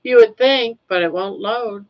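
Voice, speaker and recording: A woman's voice: a little high, a little slow. An older woman from Maine hosting a casual livestream, rambling and laughing easily as she reads the chat. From Maine, USA. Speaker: livestream host. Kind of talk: casual live chat reading comments. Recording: dull lo-fi stream audio.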